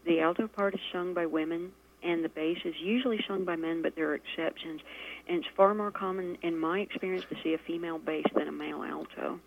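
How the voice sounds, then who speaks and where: A woman with a fairly low voice, talking in a measured, casual way as an interview guest and often pausing on fillers. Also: fairly even intonation, creaky, slight background noise. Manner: measured, frequent fillers, laughs easily. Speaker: guest musician and poet. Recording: lo-fi radio recording.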